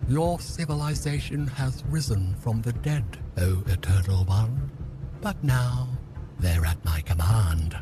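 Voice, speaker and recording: A man playing a low-voiced, gloating villain over music. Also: expressive, takes it slowly. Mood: gloating, menacing. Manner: theatrical, taunting, grandiose. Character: bitter, gloating male Clockmaker villain, outcast celestial schemer. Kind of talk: audio drama acting.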